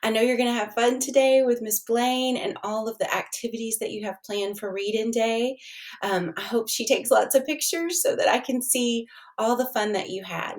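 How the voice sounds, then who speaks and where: A woman's voice: fairly high pitch, normal speed. A woman reading a storybook aloud to children as a teacher would, expressively, with asides and questions to the listeners.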